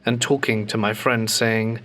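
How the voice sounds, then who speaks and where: Calm man reading ghost stories. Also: fairly low pitch, unhurried. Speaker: host of a ghost story channel.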